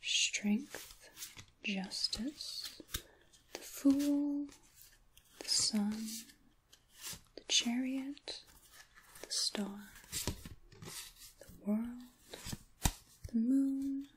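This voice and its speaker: A woman playing a soothing, mysterious witch in an ASMR tarot roleplay, speaking softly and half-whispering with pauses, close to the microphone. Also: sits high, rough recording. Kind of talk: soft-spoken ASMR roleplay, tarot reading.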